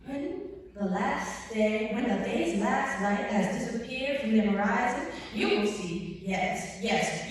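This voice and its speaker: A woman performs a desperate female would-be savior in a dramatic stage monologue. The delivery is urgent and imploring, with repeated pleas. It is heard from off in a long, echoing hall with faint noise. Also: fairly high pitch. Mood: pleading, measured. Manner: urgent, pleading, repeats phrases. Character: desperate, frantic would-be savior pleading to be believed. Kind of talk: dramatic monologue read at a workshop. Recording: very echoey hall, distant mic, faint noise.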